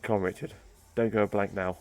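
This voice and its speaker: Fast-talking joking male gamer. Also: lowish voice, level tone, darkish tone, soft background music. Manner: joking, mocking, reads the options aloud. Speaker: gaming host. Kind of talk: let's play commentary.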